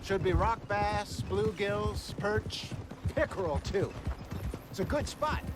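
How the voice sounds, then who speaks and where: An actor playing an easygoing, seasoned outlaw companion of the Old West in a video game, chatty and wry. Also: male voice, high-pitched, expressive, takes it slowly. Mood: easy, informative. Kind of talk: video game cutscene acting. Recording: noisy outdoor scene, horse hooves.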